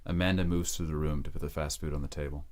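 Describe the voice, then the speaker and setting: A man's voice: very deep voice, fairly even intonation, fast, darkish tone. A man narrating an audio drama from a script.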